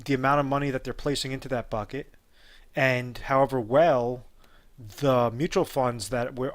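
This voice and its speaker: Male advisor with a mid-range voice, explaining off the cuff and full of ums. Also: medium pitch. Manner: unscripted, many fillers and restarts. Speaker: retirement advisor. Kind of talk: explainer video talk.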